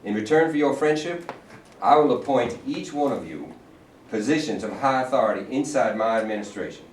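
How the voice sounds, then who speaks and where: A man playing a pompous, scheming middle-aged governor in a staged reading, with a smooth, condescending manner. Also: medium pitch, animated, fairly quick, a little hiss or hum, close to an everyday voice. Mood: smug. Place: performance hall. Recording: roomy hall from a distant mic.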